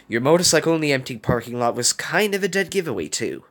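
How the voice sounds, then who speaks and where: A man acting an earnest, animated young man. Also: medium pitch, quick pace, pitched above the performer's own voice. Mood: concerned, awkward. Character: earnest, concerned young man, calm but easily exasperated.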